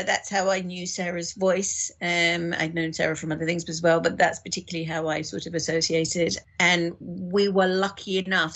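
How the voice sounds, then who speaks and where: Female podcast guest, slightly low voice, casual and explanatory, production professional. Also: vocal fry, fairly bright tone. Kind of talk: casual explanatory interview talk.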